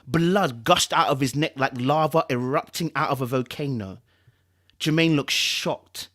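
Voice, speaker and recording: A man with a London accent hosting a live stream, moving between casual chat and expressive reading from a book. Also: medium pitch, unhurried. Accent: British, London. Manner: informal, repetitive, checks in with listeners.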